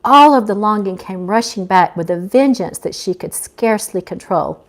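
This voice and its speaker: A woman author reading her own novel aloud in expressive scripted narration. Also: mid-range voice, moderate pace.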